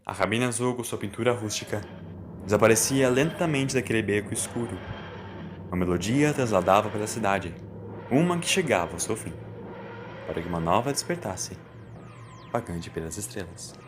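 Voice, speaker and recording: A man's voice: lowish voice, lively intonation, moderate pace, background noise. A man with a Brazilian accent, narrating a scripted story in a dramatic style. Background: music.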